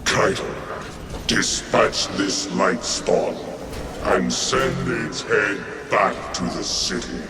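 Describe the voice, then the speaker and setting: An actor playing a huge, contemptuous alien warlord phantom in a video game, with a gravelly voice and a slow, imperious, scornful delivery. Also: male voice, over music. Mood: taunting. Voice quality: deep, gravelly. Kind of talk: dramatic video game cutscene acting. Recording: echoing, distant voice under loud score.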